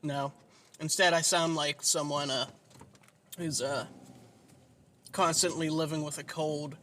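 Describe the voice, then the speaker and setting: Male podcast host, nasal and whiny, high bright voice, slow rambling delivery, faint hiss behind. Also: level tone. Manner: rambling, self-deprecating, swears freely. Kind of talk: casual solo podcast monologue.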